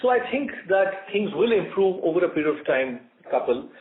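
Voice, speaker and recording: Indian male executive, somewhat high voice, measured emphatic answers in short phrases, thin conference-line sound. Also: big pitch swings. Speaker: company executive answering analysts. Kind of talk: earnings call answers. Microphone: conference call line. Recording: muffled narrowband conference call audio.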